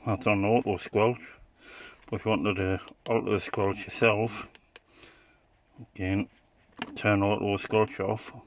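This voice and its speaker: A man with a fairly low voice talks through a gadget as an informal demonstrator. He is matter-of-fact and a little hesitant, feeling his way without a script. There is faint noise in the homemade recording. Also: flat delivery, takes it slowly, pauses often. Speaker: hobbyist product demonstrator. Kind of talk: informal unscripted gadget demo.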